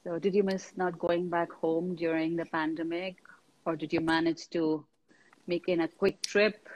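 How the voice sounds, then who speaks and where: A woman in her thirties hosting a casual video-call interview, chatty and quick to laugh. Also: on the low side, little pitch movement, a little mellow. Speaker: host. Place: indoor room, in the desert. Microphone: laptop video call. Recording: slightly dull video-call audio.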